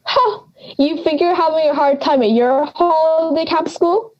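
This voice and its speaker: A woman's voice: sits high, a little slow, muffled recording. A young woman playing a cranky, forgetful old granny in an audio drama, brusque, sarcastic and theatrical. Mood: sardonic. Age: young adult.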